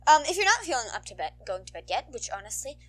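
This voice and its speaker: High, bright, bubbly young woman. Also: big pitch swings, fast. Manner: bubbly, playful, rambling. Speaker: podfic reader and channel host. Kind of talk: casual chatty outro to listeners.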